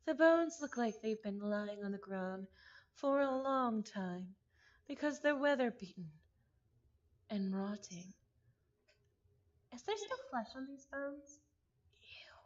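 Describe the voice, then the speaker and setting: A woman's voice: fairly high pitch, takes it slowly, pauses often, muffled recording. A woman reading a visual novel aloud in a playful, theatrical manner, breaking off into chatty asides. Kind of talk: visual novel read-along with casual reactions.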